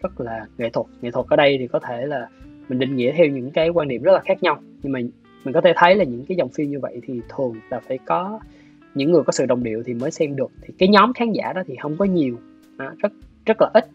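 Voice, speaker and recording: Husky southern Vietnamese man explaining thoughtfully. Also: mid-range voice, quick pace. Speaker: guest, animation director. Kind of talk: explanatory interview talk. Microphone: video call. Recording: dull video-call audio, music behind. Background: soft plucked guitar music.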